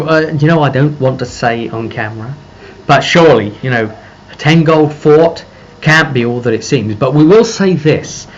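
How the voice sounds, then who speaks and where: A man giving a casual, rambling recap as a game master, halting and circling back over his phrases. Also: medium pitch. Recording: muffled lo-fi audio with background noise.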